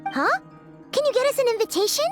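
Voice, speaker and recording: A woman voicing a tiny, childlike fairy companion in a video game, squeaky, blunt and exclamatory. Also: lively intonation, fairly quick. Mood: startled. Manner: squeaky, exclamatory, refers to self in third person. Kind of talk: video game cutscene acting. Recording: background music behind voice.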